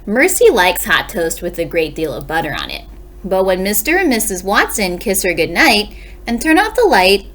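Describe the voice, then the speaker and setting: Expressive woman reading a children's story. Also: medium pitch. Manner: dramatic pauses, addresses young listeners directly. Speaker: second-grade teacher reading aloud.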